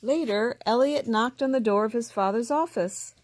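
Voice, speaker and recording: A woman reading a children's picture book aloud, with gentle, expressive narration that voices each character. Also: a little high, moderate pace, a little hiss or hum. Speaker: storybook reader.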